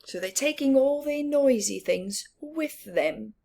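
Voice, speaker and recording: A woman reading a picture book aloud to children with expressive, drawn-out emphasis and asides about the story. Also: sits high, gravelly. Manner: animated, drawn-out emphasis with whispered words.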